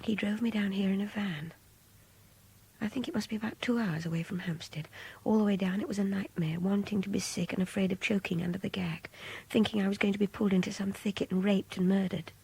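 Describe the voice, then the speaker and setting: Breathy half-whispered voice, gravelly edge, young woman held captive, intense, brooding, frightened and contemptuous, radio drama monologue. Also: mid-range voice, fairly even intonation, a little fast, poor audio. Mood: troubled, loathing. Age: young adult. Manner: hushed, brooding, confiding. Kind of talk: radio drama monologue, intimate diary narration.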